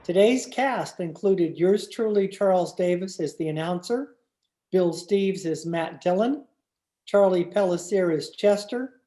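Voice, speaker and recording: A man's voice: sits high, measured pace, mellow. A man reading scripted closing credits as the announcer of a radio drama. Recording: echoey room.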